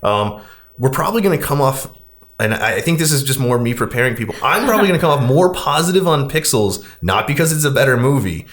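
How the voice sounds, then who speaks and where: A man's voice: mid-range voice, sing-song, fast, a bit bright. A man hosting a podcast, chatting casually about movies in a rambling, conversational way. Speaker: podcast host.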